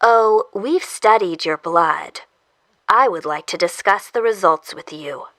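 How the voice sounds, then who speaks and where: A woman playing a composed doctor in an audio drama, speaking in a formal, measured, clinical way. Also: on the low side, fairly bright tone, dry recording. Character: composed female doctor questioning an immune patient.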